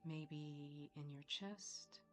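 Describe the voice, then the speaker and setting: Lady doing a guided meditation, low voice, real slow w long pauses, quiet music behind. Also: fairly even intonation. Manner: soothing, measured, with long pauses. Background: soft background music.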